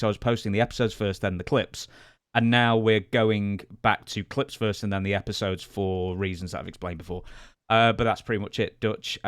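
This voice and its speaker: Male podcast co-host, lowish pitch, dry sound, chatty and informal, prone to asides. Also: smooth, dry recording. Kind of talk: casual podcast chat.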